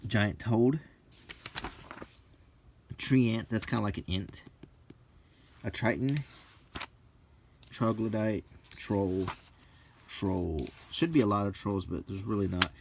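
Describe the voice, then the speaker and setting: A middle-aged man hosting a casual, ASMR-like show-and-tell, reading out names in a halting, list-like way with brief musing asides. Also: lowish voice, vocal fry, slight background noise. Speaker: video host.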